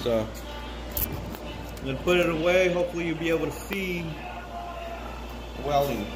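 A man's voice: on the high side, over music, rough recording. Man rambling through a garage welding demo. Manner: rambling, halting with many fillers. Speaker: hobby welder vlogger. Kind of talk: casual demonstration vlog. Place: closed home garage workshop with air conditioning running. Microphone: phone camera set on the bench, sometimes far off. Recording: faint air conditioner hum in a garage.

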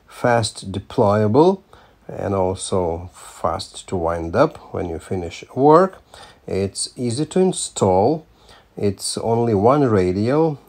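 An older man giving a casual hands-on demonstration, speaking haltingly and repeating words as he goes. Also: lowish voice, darkish tone. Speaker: amateur radio operator presenting a field test.

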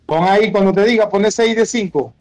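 A Venezuelan man with a high voice, talking in loose, sweary slang like a gamer in the middle of a session. Music plays behind him as he chats. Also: a little fast, darkish tone, no room sound. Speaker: gamer streaming an online role-playing game. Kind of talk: casual gaming chat.

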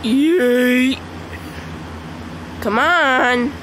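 A woman with a congested voice chatting casually over mobile gameplay, excitable and squealing at the game. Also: sits high, drawn-out words, slight background noise, poor audio. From Michigan. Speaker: gaming channel host. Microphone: phone screen recording.

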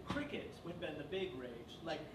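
A young man at a piano doing deadpan comedic stage banter, spinning absurd fake history before a seated audience. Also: on the high side, normal speed, crowd noise. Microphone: far camera in the audience. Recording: echoey live room from far off. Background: piano playing.

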